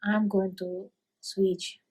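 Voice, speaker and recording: Husky woman with a Russian accent. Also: medium pitch, flat delivery, drawn-out words, a little mellow, poor audio. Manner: hesitant, full of ums. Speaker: coworker. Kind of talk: retirement video message. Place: indoor room.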